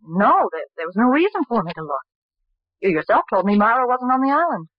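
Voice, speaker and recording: Nervy young female suspect in vintage radio mystery: higher voice, highly expressive, defensive, stumbles under pressure. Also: a little fast, dry recording. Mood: shaken, evasive. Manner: emotional, stammering when pressed. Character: anxious, defensive young woman under murder suspicion. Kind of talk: old-time radio mystery acting. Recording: dull old radio recording.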